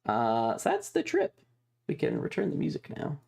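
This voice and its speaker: A man streamer talking casually and rambling through his material, halting often with stretched pauses. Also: on the high side, wildly swooping pitch, creaky, a little mellow, breathy edge. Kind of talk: casual livestream chat over trip photos and videos.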